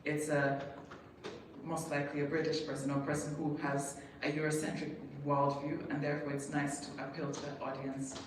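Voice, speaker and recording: A speaker from Tanzania giving a measured public presentation with pauses, as a university programme representative. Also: mid-range voice, completely flat delivery. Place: echoey event hall with audience. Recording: echoey room, distant mic, clearly noisy.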